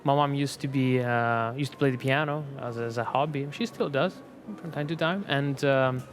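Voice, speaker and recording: An Italian-born man of twenty-two speaks loosely and haltingly, filling pauses with ums. His voice has a middle pitch. He often breaks into short laughs. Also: soft background music. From Italy. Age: 20s. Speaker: competition pianist guest. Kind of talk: panel talk.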